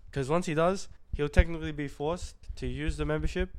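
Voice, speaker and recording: A young man from the Philippines co-hosting a podcast in a casual, slangy chat that often trails off quietly. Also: fairly high pitch, steady tone, brisk, smooth. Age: young adult. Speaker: podcast co-host.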